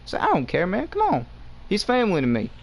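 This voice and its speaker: A man's voice: high-pitched, wildly swooping pitch, fast, gravelly, muffled recording. Young Southern man talking earnestly to viewers. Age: young adult. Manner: earnest, talks straight to viewers as "guys". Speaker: gaming channel host. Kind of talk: casual chat while playing a game. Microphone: headset.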